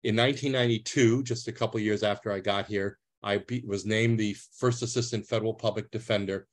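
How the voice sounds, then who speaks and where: A man's voice: on the low side, fairly even intonation, brisk. A man, giving an earnest, plain-spoken talk over a video call as a retired public defender. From US East Coast.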